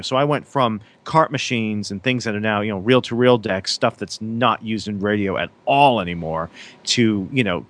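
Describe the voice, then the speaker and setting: Rambling thirtysomething man, low voice. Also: a bit bright. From Massachusetts. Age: 30s. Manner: rambling, many fillers and restarts. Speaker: radio DJ guest. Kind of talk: casual interview chat.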